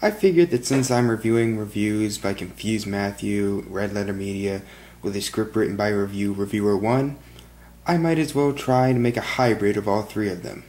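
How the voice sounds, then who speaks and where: Young man, monotone film review, low-ish voice, webcam in small bedroom. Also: normal speed, slight background noise. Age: young adult. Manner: flat monotone delivery. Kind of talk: read-out film review monologue. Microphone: webcam at desk.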